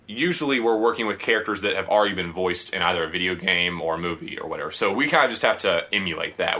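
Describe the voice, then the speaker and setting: Fast, bright-voiced male podcast host. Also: low-pitched. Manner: eager, chatty, quick to laugh. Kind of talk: casual podcast interview.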